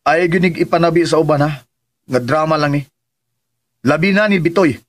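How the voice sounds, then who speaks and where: A man's voice: a little high, moderate pace, close to an everyday voice. An actor playing a bossy, insistent male employer in a radio drama, brusque and commanding, with a Filipino Visayan accent. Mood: bossy, teasing.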